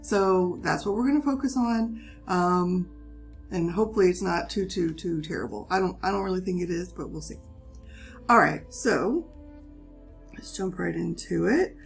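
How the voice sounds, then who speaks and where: Woman, hoarse with a cold, gravelly, lower voice, patient chemistry teacher. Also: lively intonation, moderate pace, soft background music. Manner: patient, reassuring, steps through material. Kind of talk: recorded classroom lesson.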